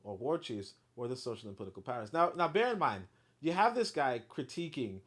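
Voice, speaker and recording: A man reading a history book aloud, then breaking off into animated, blunt commentary with profanity and lots of fillers. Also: mid-range voice, brisk.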